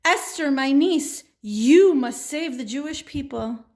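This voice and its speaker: A woman's voice: sits high, expressive, slow, darkish tone, full character voice. A woman voicing a firm, devout uncle in a children's puppet show, earnest and insistent. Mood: urgent, insistent. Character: firm, devout uncle counselling his niece.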